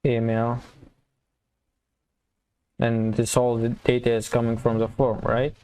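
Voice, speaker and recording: A man giving a casual coding tutorial, thinking aloud and explaining step by step in an informal, halting way. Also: medium pitch, completely flat delivery, dark tone, clean tone. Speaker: programming tutorial instructor.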